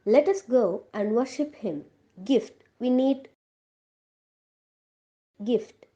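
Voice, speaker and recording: A woman's voice: on the high side, drawn-out words, mellow, lo-fi sound. A woman voicing a reverent, gift-bearing Magi king puppet in a school nativity play. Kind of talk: school puppet show acting.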